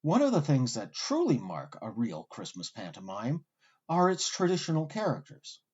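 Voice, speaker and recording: A man hosting with scripted documentary narration, delivered in a wry, articulate storyteller's way with deliberate emphasis. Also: medium pitch, wildly swooping pitch, darkish tone, husky, muffled recording. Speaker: host and narrator.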